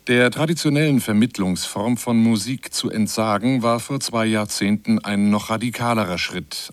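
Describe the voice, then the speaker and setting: A man reading a scripted radio essay in a measured, articulate and explanatory manner. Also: fairly low pitch, no room sound. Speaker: radio feature narrator. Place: radio studio.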